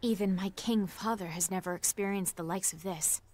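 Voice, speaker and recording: A woman's voice: medium pitch, moderate pace, a little mellow, slight background noise, lightly acted. Woman acting a dignified young princess soldier. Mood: proud, resolute. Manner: formal, stately, declarative. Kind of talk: video game voice acting.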